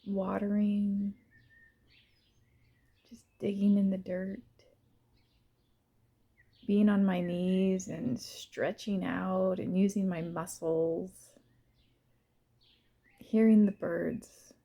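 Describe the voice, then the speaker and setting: A woman gently leading a guided visualization in the first person, soft and unhurried, pausing between short phrases. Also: medium pitch, little pitch movement, gravelly.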